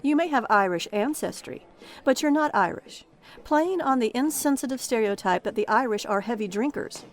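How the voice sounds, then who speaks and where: A woman's voice: on the high side, animated, normal speed, lightly acted. Actress as a stern, humorless policewoman. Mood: cold, reproving. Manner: clipped, official, reproving. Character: stern, humorless female officer of a thought police. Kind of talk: audio drama acting.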